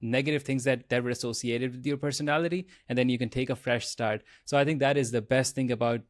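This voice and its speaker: Male podcast host, mid-pitched, stop-and-start casual delivery, dry close audio. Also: fairly even intonation, dry recording. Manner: halting, many restarts. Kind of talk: casual interview questions.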